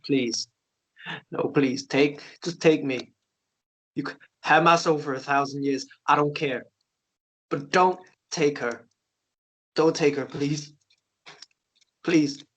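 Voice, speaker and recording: Guy playing heartbroken tender man, slow n halting, kinda husky, pleading drama read. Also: on the high side. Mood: begging. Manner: halting, repeating himself, pleading. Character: anguished, tender man pleading for his beloved. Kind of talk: emotional table read drama acting.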